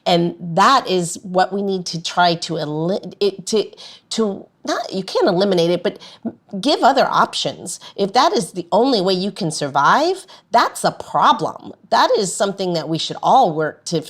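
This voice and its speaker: A woman's voice: fairly low pitch, lively intonation, moderate pace. A woman co-hosting a podcast in casual, conversational talk. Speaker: podcast co-host.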